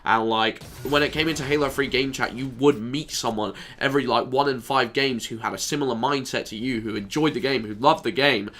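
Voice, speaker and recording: A man's voice: medium pitch, brisk, hardly any pauses, a bit bright. A man with a nasal voice, stuffy from allergies, giving a rambling, self-deprecating commentary over his gameplay. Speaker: gaming YouTuber.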